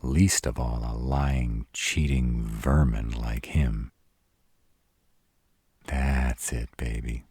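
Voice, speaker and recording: A man's voice: very deep voice, fairly even intonation, a little mellow, husky, no echo, studio quality, full character voice. A man playing a protective, devoted but brash were-dragon lover in a roleplay audio drama, speaking huskily and bluntly, with tenderness for a partner. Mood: affectionate, protective. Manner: slow, crude-tongued, tender with partner.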